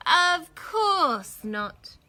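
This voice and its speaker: Woman as a bright, friendly storybook dinosaur. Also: very high-pitched, sing-song, drawn-out words, voice pushed up, full character voice. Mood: reassuring. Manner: emphatic, reassuring. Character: friendly, reassuring male plant-eating dinosaur.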